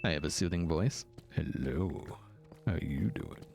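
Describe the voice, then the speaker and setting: A man hosting a game live stream, chatting casually and thanking viewers, with a gravelly morning voice. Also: low-pitched, lively intonation, over music. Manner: playful, jokey, thanks donors rapidly. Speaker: livestream host.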